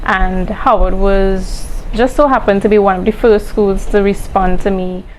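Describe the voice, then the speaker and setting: A woman's voice: medium pitch, fairly even intonation, moderate pace, darkish tone, a little hiss or hum, rough recording. Composed Caribbean-born woman. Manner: composed and articulate, with some careful hedging. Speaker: interviewee. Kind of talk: personal interview recollection.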